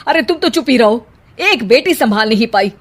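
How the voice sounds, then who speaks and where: Woman as a high-voiced bitter father. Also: expressive, moderate pace. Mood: bitter, scolding. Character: bitter, frustrated middle-aged father of the bride.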